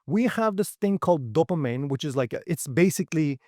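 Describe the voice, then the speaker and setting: A man's voice: a little high, lively intonation, fairly quick, mellow, no echo, clean studio sound. Straight-talking man. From Uyghur region. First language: Uyghur. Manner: direct, frequent "okay?" and "right?" checks, non-native grammar. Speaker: seminar presenter. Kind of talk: motivational talk.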